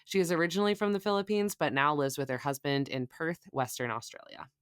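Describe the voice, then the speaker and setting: A woman's voice: low voice, fast talker, clear, resonant tone. A woman co-hosting a podcast, introducing a guest and asking affirming, thoughtful questions. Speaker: podcast co-host. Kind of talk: podcast interview.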